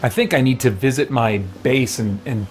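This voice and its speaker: A man's voice: medium pitch, normal speed, a little hiss or hum. A man doing casual live gameplay commentary as a streamer, thinking aloud and reading things out. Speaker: game streamer. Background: faint game music.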